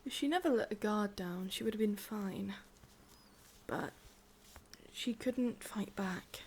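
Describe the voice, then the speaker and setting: A woman with an English accent voicing a possessive, sadistic witch in a slow, breathy ASMR roleplay whisper. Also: mid-range voice, a little hiss or hum. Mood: bitter, cold. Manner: slow, intimate, teasing. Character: sadistic, possessive witch, softly menacing and doting.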